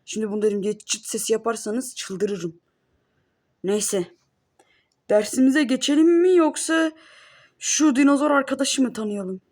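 A woman's voice: fairly high pitch, a little slow, long pauses, darkish tone. Woman doing a strict, bossy cartoon teacher. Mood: stern. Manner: bossy, lecturing. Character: strict cartoon schoolteacher, stern and fussy.

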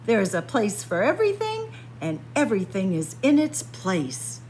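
A woman's voice: mid-range voice, wildly swooping pitch, normal speed, darkish tone, a little hiss or hum, rough recording. A woman voicing a bossy young girl bunny, a tidy big sister, in a picture book read-aloud. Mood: bossy, brisk. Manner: bossy, instructing.

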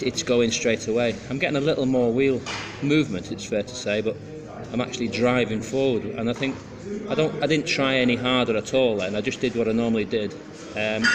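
A man's voice: mid-range voice, recorded from a distance. A middle-aged man chatting casually at a motorcycle race track, rambling with fillers and restarts. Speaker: motorcycle track day vlogger. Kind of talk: casual vlog chat. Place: paddock garage at a race track.

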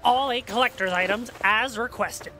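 An actor playing an excitable, money-minded member of a sci-fi fan crew in an audio drama. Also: mid-range voice, moderate pace, slight background noise. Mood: matter-of-fact.

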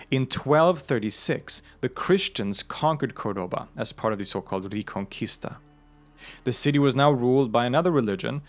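A man narrating a history documentary in a measured, informative way, now and then adding a small aside. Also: medium pitch. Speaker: host of a religion history channel.

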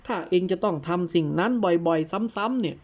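A woman voicing a patient, probing male teacher in an audio tale, who explains things in a deliberate, didactic way. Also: lowish voice, darkish tone, natural voice. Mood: earnest, explanatory. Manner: deliberate, didactic, breaks words into syllables. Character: patient, probing old-fashioned male teacher instructing his pupil.

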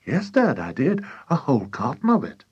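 A man's voice: medium pitch, huge pitch swings, normal speed, husky, no echo, lo-fi sound, voice pushed up, exaggerated voice. A man voicing an eager, earnest young boy in a children's story. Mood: sudden realisation.

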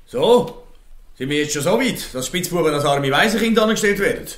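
A man's voice: on the high side, lively intonation, normal speed, a bit bright, echoey room. An actor playing a rigid, scornful townsman in a Swiss German audio drama, blunt and sneering. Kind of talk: audio drama dialogue in dialect.

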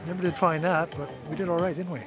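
A man narrates his day on the beach in a loose, wry way, often chuckling at his own asides. His voice sits a little high. Music plays clearly beneath him. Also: steady tone, quick pace. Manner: chatty, wry, chuckling to himself. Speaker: travel vlogger. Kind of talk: casual travel narration.

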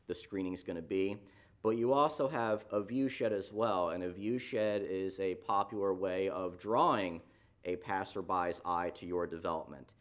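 Municipal planner, low voice, measured explanatory delivery, lots of "uh"s and restarts. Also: male voice. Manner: explanatory, frequent fillers and restarts. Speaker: city planning staff presenter. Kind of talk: staff report at a public meeting. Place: council chamber.

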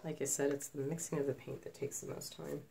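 Snuffly woman with a cold, low gravelly voice, chatting thru a craft demo on her phone. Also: level tone, fast, very noisy. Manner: chatty, self-deprecating asides. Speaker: acrylic pour artist. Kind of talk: casual tutorial chat while working. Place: home craft table, indoors. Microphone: phone camera overhead.

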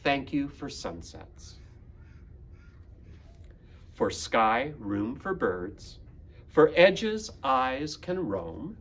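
Male school librarian, fifties, slow and animated storytime delivery for young listeners. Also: medium pitch. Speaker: school librarian, guest reader. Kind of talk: picture book read-aloud for children. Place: school library room, indoors.

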